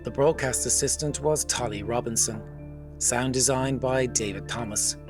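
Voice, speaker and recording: A male announcer reading the credits of a radio drama in a measured, formal way. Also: medium pitch, over music.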